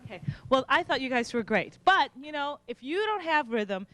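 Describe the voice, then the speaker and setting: A woman's voice: high-pitched, animated, normal speed, a bit bright, dry recording. A woman hosting a youth talk show, addressing guests and studio audience. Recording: music behind, 1990s VHS tape.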